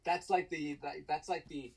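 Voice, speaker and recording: A man in his thirties with a baritone voice, telling stories with enthusiasm and lots of restarts in a casual podcast interview. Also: steady tone, normal speed, gravelly, a little mellow, breathy edge. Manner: enthusiastic storyteller, many restarts. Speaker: guest, tribute band singer.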